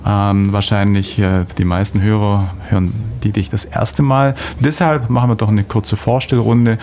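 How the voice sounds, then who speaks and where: A man hosting a studio radio interview, speaking haltingly in long, winding sentences. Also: on the low side, fairly even intonation, darkish tone, slight background noise. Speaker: radio host. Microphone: studio microphone.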